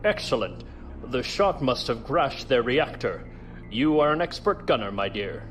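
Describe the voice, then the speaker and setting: An actor playing a silky, self-satisfied villainous colonel in an audio drama. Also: male voice, mid-range voice, sing-song, measured pace, a bit bright, soft background music. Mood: smugly pleased. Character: suave male villain colonel commanding raiders.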